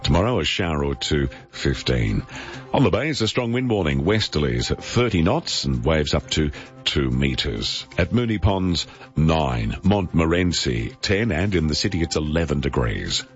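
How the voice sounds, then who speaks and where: A man reading the weather forecast in a crisp, measured way. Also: a bass voice, very animated. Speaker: weather presenter. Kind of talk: weather report. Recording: dull broadcast sound, faint music.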